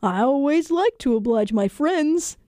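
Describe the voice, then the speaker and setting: A woman's voice: sits high, lively intonation, unhurried, warm tone, dry recording, studio quality, pitched above the performer's own voice. A woman voicing an obliging, agreeable male trader in a children's folktale.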